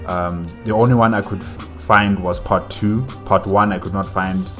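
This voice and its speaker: A man's voice: low-pitched, steady tone, normal speed, a bit bright, faint music behind. A man hosting a reaction video, chatting casually and hesitantly as he wonders aloud. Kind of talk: casual chat, reacting to game cutscenes.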